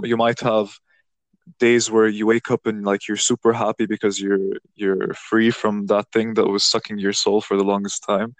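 A man with a lowish voice hosts a relaxed conversation, thinking aloud as he goes. He circles back on his words often and restarts his sentences. The recording sounds dry and close. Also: dry recording. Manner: rambling, many restarts, reflective. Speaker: podcast host. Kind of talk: casual conversation.

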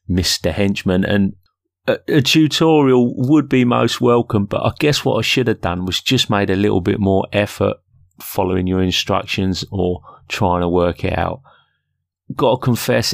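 Male podcast host, lower register, informal solo chat, self-effacing, dry close-miked sound. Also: creaky, no room sound. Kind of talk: casual solo podcast talk.

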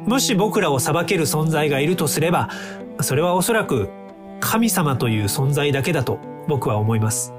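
An actor in an audio drama playing an earnest, polite young time-traveling inventor who explains things courteously. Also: male voice, a little high, animated, normal speed, over music, lightly acted. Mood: sincere, composed.

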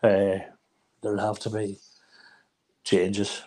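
A man's voice: fairly low pitch, monotone, slow pace, a little mellow, rough recording. A man in his seventies with a Northern Irish accent, chatting casually as an interview guest in a plain-spoken way, often repeating his phrases. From Ireland. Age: 70s. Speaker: interview guest, charity founder.

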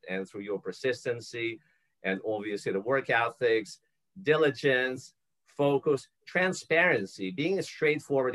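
A man from Ukraine hosts an interview, winding through long questions with plenty of "uh" and "you know". His voice sits fairly low. He laughs easily between thoughts. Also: a bit bright, poor audio. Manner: long rambling questions with many fillers. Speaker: host. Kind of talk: interview podcast.

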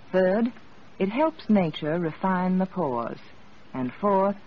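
A woman's voice: lowish voice, warm tone. A woman delivering a scripted, persuasive 1940s radio commercial. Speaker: advertising spokeswoman. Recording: muffled, noisy old radio broadcast.

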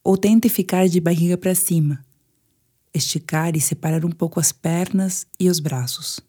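A woman's voice: fairly low pitch, normal speed, mellow, breathy edge. A woman with a Brazilian Portuguese accent guides a sleep meditation in a soothing, instructive manner.

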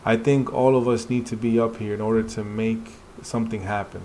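A man's voice: lowish voice, fairly even intonation, a little fast, darkish tone, a little hiss or hum, natural voice. A man voicing anxious, wary dungeon hunters as he reads comic dialogue aloud dramatically. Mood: earnest, explanatory.

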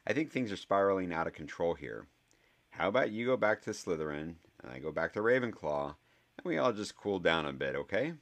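A man voicing a clever, quick-tongued eleven-year-old boy wizard who sounds exasperated. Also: low voice, big pitch swings. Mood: indignant.

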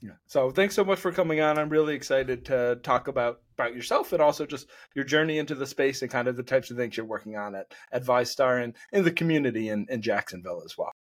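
A man hosts a podcast interview, asking questions in a friendly, loose manner. His phrasing rambles with fillers, and his voice sits at an ordinary pitch. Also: quick pace, hardly any pauses. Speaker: podcast host. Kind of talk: interview hosting.

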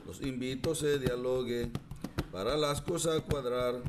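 A man's voice: mid-range voice, fairly even intonation, unhurried, music in the background, lightly acted. A man playing an old village verse-maker who sings repeated folk verses to reassure his neighbours in a radio drama. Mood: reassuring, rallying. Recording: clearly noisy recording.

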